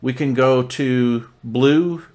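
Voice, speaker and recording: A man's voice: medium pitch, slow, a little mellow, a little hiss or hum. A man talking casually through a hands-on product demonstration, explaining features step by step as a reviewer.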